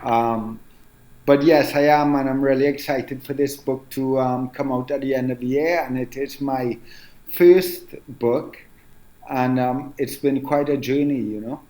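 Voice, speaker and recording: A man in his forties with a Trinidadian accent, speaking as an author guest in a relaxed interview and musing aloud with many "um"s and "you know"s. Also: medium pitch, echoey room, poor audio. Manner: reflective, unhurried, frequent "um" and "you know". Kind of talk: casual interview conversation.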